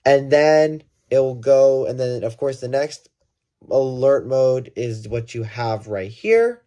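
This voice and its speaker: A man's voice: medium pitch, lively intonation, slow, dark tone. A man giving a casual hands-on gadget demonstration, thinking aloud and correcting himself as he goes. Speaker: product reviewer.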